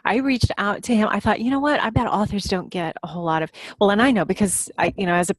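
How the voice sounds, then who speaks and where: A woman's voice: mid-range voice, fast talker, dry recording. A woman author telling anecdotes in a casual podcast conversation.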